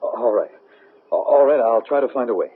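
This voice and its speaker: A man's voice: mid-range voice, lively intonation, normal speed, slightly rough. A man playing an earnest, flustered scientist in a 1970s radio drama, exclaiming and stammering over his words. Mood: resigned, earnest. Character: astonished, flustered male scientist, earnest and cautious. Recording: muffled, noisy old radio broadcast.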